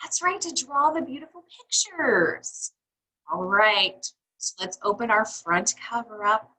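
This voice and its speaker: A woman reading a picture book aloud to young children in a teacherly, expressive way, putting questions to her listeners. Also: fairly high pitch, unhurried, poor audio. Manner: teacherly, asks questions and answers them; exaggerated, expressive child-directed delivery.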